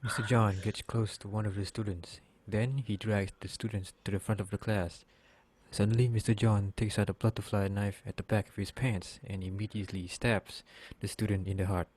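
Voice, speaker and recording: A man's voice: lowish voice, level tone, normal speed, a little mellow. A man reading scripted story narration aloud in a plain way as the narrator of a drama.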